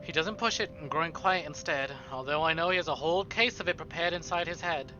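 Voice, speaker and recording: A male streamer reading visual novel narration aloud in an expressive, dramatic way, with casual asides. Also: fairly high pitch, brisk, muffled recording. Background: game music.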